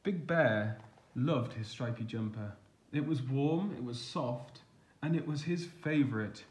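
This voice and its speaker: A man reading a children's storybook aloud as a teacher would, expressive and deliberate, stressing the action words. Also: medium pitch.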